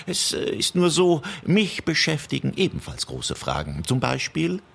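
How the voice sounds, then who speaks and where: An actor playing a dreamy, hesitant, deliberate male poet in a comic radio play. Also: fairly high pitch, wildly swooping pitch, gravelly, a bit bright, husky, heavily acted. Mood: musing. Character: dreamy, ponderous male poet, hesitant amateur fly observer.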